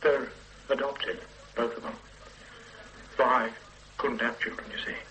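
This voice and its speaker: A man playing an older father on the run in a radio drama, soft and halting as he pleads with his grown daughter. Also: medium pitch, a little hiss or hum, poor audio. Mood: wistful.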